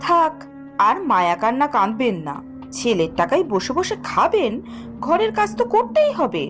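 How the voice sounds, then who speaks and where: Cartoon villainess daughter-in-law, woman actor: high, very animated, spiteful and commanding, clear music behind. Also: normal speed. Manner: harsh, sneering, bossy. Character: cruel, sarcastic daughter-in-law bullying her old mother-in-law.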